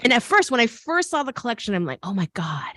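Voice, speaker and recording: A woman's voice: a little high, sing-song, fast talker, sharp tone, no echo, studio quality. Chatty American woman host. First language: Korean. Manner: chatty, laughing, teacherly asides. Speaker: host and fashion design teacher. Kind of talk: casual video call interview.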